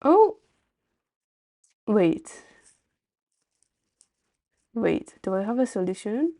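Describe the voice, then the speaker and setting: A woman's voice: mid-range voice, big pitch swings, drawn-out words, warm tone. A woman streamer chatting casually on a livestream while playing a story game.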